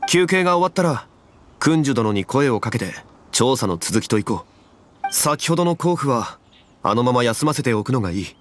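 An actor playing a composed, dignified, knowledgeable man in an anime game, speaking formally and deliberately. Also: mid-range voice, animated, a bit bright, slight background noise, natural voice. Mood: grave, decisive.

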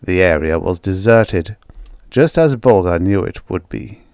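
A man's voice: sits low, a little mellow, dry recording, studio quality. A man reading a vintage short story aloud as scripted audiobook narration, with a dramatic storytelling delivery.

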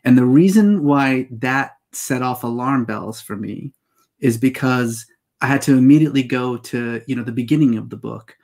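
A man's voice: mid-range voice, normal speed, a little mellow. A man in his forties hosting an interview from a home study, asking thoughtful, probing questions through earbud headset mic on a video call. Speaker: talk show host, interviewer.

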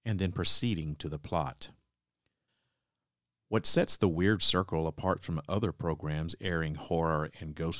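A man hosting a podcast, reading a scripted introduction to old radio plays in measured phrases. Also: low-pitched, a bit bright, no echo, studio quality. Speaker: podcast host.

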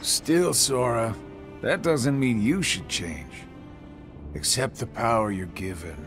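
A man's voice: mid-range voice, lively intonation, slow pace, over music. A man voicing a sardonic, taunting middle-aged villain in a video game, with a drawling delivery. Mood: mocking, lecturing. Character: sly, mocking one-eyed middle-aged male villain. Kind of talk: English video game cutscene acting.

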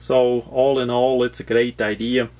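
Slow, monotone Swiss-accented man. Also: medium pitch. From Switzerland. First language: not English. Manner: halting, rambling, matter-of-fact. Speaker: product reviewer. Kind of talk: unscripted product explanation. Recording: clear background noise with hum.

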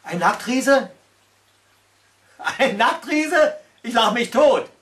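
A giant slug monster from a storybook voiced by a man, high in pitch and full of scorn. It boasts and mocks theatrically, like a predator too lazy to bother. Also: lively intonation, slow pace, a bit bright, full character voice. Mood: mocking. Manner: mocking, theatrical.

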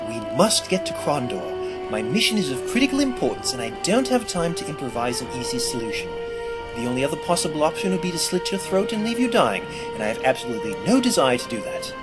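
Fantasy audio drama: wry, formal noble soldier, male actor, slightly high voice, expressive, strong music underneath. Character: breezy, wry male noble soldier, loyal to his prince. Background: orchestral game music.

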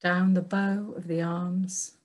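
A woman guiding a meditation in gentle, measured phrases with long pauses between them. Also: lowish voice, flat delivery. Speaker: meditation teacher. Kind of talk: guided meditation.

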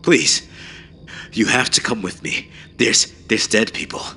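A man's voice: fairly low pitch, unhurried, pushed and bright, slightly rough, pitched above the performer's own voice, exaggerated voice. A man playing a terrified survivor in a horror story, frantic, urgent and stammering as he pleads. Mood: frantic pleading.

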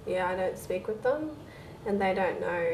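Young woman, gravelly hesitant voice. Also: medium pitch, animated, normal speed, a little mellow, a little hiss or hum, rough recording. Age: young adult. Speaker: patient in a role-play consultation. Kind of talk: staged clinic conversation. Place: small clinic consulting room, indoors.

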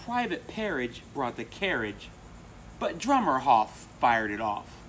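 A middle-aged American man with an expressive, emphatic delivery, presenting and reading a children's picture book aloud. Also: medium pitch, a little slow, rough recording. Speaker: volunteer storybook reader. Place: outdoors among palm trees. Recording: outdoor recording with insect noise. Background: crickets and insects.

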